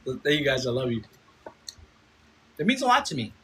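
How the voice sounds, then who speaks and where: Bright-voiced man in his fifties. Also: medium pitch, sing-song, fairly quick, slight background noise, rough recording. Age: 50s. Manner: rambling, repeats himself, swears and laughs often. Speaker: food content creator streaming live. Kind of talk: casual live-stream chat reading comments. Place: home, Chicago. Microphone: phone.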